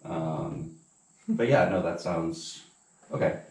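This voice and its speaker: A man with a deep voice conducts a casual, conversational interview. He feels his way through questions, restarting and rewording. He sounds a little distant from the microphone in an echoing room. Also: animated, slow, a little mellow. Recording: roomy echo, distant from mic.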